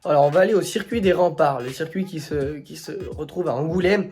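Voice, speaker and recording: A man's voice: fairly high pitch, brisk, a little mellow. A man with a slight head cold gives casual vlog commentary as a host, hesitating and repeating words as he goes.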